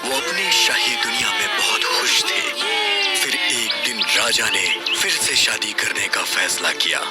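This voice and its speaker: A man's voice: mid-range voice, wildly swooping pitch, measured pace, over loud music. A man reading a scripted children's fairy tale as the storyteller narrator.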